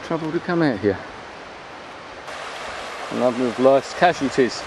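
A man talks in a chatty, rambling way at an ordinary pitch. He is giving an off-the-cuff walking commentary into a handheld camera's microphone. Clear outdoor noise of an open beach runs behind the voice. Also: animated, a little slow. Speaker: vlogger filming a beach walk. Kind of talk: casual, unscripted walking commentary. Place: open beach at low tide. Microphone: handheld camera's built-in microphone.

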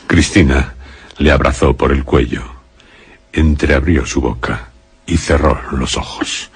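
A man with an accent from Spain narrating a scripted radio suspense story in a solemn, dramatic, deliberately phrased way. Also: a bass voice, slightly rough, dry recording.

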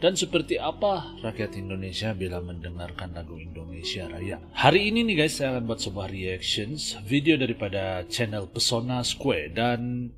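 A man in his thirties speaks with a Malaysian Malay accent. He hosts a casual reaction video into a studio mic in a small home studio, his fairly low voice earnest and emphatic. Loud music plays behind him. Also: very animated, normal speed. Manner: earnest, says "guys" constantly, emphatic. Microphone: studio mic on arm, wearing headphones. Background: music from the video being reacted to.

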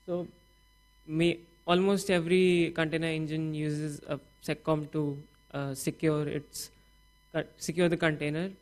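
A young man presenting his summer coding project at a conference, talking through slides with many fillers and restarts. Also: a little high. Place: conference hall stage.

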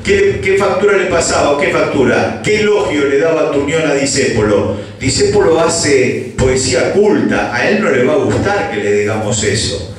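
A man's voice: fairly high pitch, expressive, moderate pace, far from the mic, slight background noise. A man speaking on a panel in a university hall, musing and digressive, recorded from afar in a roomy hall. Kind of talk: panel discussion. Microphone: handheld mic, far camera.

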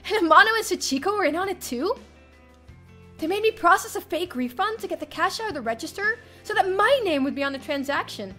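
A young woman streamer reading a visual novel aloud in an expressive, theatrical first-person narration. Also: very high voice, brisk. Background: soft game music.

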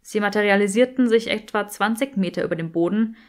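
A woman reading fiction as an audiobook narrator, in expressive scripted narration. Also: medium pitch, a little fast.

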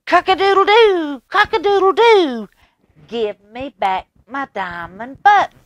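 A woman voicing a plucky little male rooster who crows his demands in a loud, high chant. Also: animated, unhurried, no echo. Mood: demanding.

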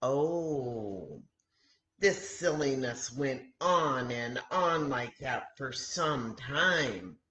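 Older woman, 60s, children's storyteller; low register, deliberate and pausing, roomy home webcam sound. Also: expressive, fairly bright tone. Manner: simple, deliberate phrasing with frequent pauses. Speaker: children's story time host at a church. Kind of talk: storytelling to children, reading aloud. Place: home kitchen, indoors.

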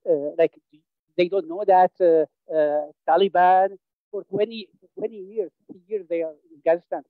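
A man with a high voice speaks into a headset on a video call, pushing his points emphatically through many fillers and restarts. The sound is dull and very dry. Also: no room sound. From Syria. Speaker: audience member. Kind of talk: impassioned political comment. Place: small home room, indoors, video call. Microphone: headset mic.

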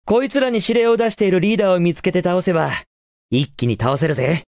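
A man's voice: sits high, sing-song, fast talker, a little mellow, no echo, studio quality. An actor playing a cocky, confident young male hedgehog hero in a Japanese video game, with a cool, casual delivery. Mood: confident, alert.

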